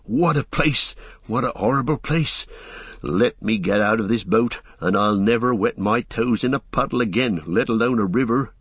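A man's voice: medium pitch, normal speed, a bit bright, breathy edge, no room sound, clean studio sound. A man voicing a fearful, humble hobbit who mutters and groans, in a storybook reading. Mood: frightened, grumbling.

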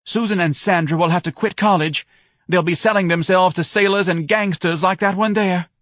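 A man's voice: steady tone, moderate pace, fairly bright tone, no room sound, studio quality. A man voicing an overdramatic, self-pitying middle-aged wife who wails and sobs in an audiobook. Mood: bitter. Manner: shrill, sobbing, melodramatic wailing. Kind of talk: audiobook character voice.

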